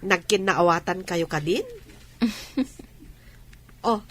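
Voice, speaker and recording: Teasing chatty friend, radio drama, very lively pitch, slow delivery, slight background noise. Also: female voice. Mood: teasing, delighted. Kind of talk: Filipino radio drama acting.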